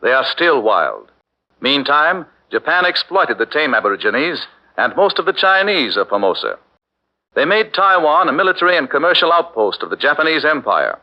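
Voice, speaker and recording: A man narrating a 1940s radio documentary drama with a measured, declamatory delivery. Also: fairly high pitch, very animated. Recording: muffled 1940s radio broadcast with music.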